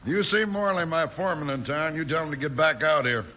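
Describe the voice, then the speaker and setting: An actor playing an uneasy American ranch owner in a 1950s radio western. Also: male voice, on the high side, animated, fairly quick, bright, forceful tone. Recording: old radio recording with faint noise.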